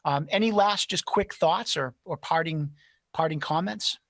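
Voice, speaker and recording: A man's voice: on the high side, measured pace, a bit bright, no echo. A man moderating an online panel discussion in a conversational, encouraging way, speaking over a video call.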